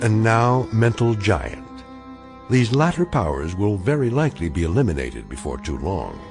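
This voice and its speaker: A wry, portentous storyteller closing a radio play. His voice is deep with dramatic rises and falls, measured and ironic, over clear music. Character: wry, portentous anthology narrator. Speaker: narrator. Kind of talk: scripted radio drama narration.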